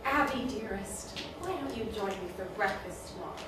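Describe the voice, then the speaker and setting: A woman's voice: medium pitch, expressive, moderate pace, natural voice. A woman playing an imperious, condescending goddess queen of the underworld in a theatrical drama, speaking formally. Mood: graciously commanding. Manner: formal, haughty, commanding. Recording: distant, very echoey, noisy room recording.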